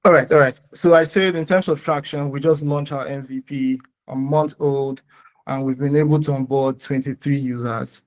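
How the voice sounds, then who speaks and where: A man with a Nigerian accent giving a live startup pitch and answering questions in an explanatory, somewhat hesitant way. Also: steady tone, normal speed.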